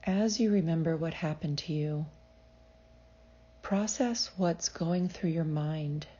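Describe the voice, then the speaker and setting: Low, slow, soothing woman guiding meditation. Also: muffled recording. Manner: soothing, measured, gentle instruction. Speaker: psychologist leading a guided meditation. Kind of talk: guided meditation, scripted.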